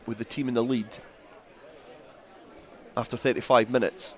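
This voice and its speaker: A man calls a rugby match live for radio. He is the play-by-play commentator, working outdoors at the ground with a mid-pitched voice. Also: level tone, moderate pace, a faint crowd.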